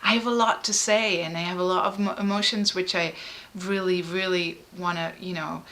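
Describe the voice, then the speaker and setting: Bright-voiced woman, halting and emotional. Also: fairly low pitch, fairly even intonation. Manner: halting and emotional, frequent fillers and restarts. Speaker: artist and musician. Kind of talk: personal interview.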